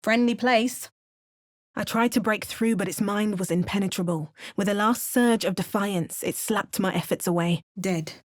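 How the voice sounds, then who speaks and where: A woman's voice: mid-range voice, normal speed, lightly acted. A woman playing a wry English adventurer heroine. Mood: grim. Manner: dry, wry remarks; chants spell words in a lively, sing-song way. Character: female adventurer hero, wry and hardened. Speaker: player character. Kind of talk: video game voice acting.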